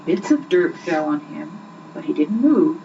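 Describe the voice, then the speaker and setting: A young woman reading a children's story aloud with expressive, scripted narration, on an old amateur recording. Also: sits low, unhurried, gravelly, far from the mic. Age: young adult. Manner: expressive, acts out the dialogue. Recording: muffled, noisy old amateur home recording.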